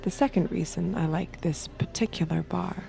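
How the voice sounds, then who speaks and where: An actress playing a watchful, manipulative escort in an intimate, measured audio drama. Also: female voice, lowish voice, creaky, mellow. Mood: cool, intimate. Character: cool, watchful, manipulative woman escort narrating her thoughts. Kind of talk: intimate scripted audio drama narration and dialogue.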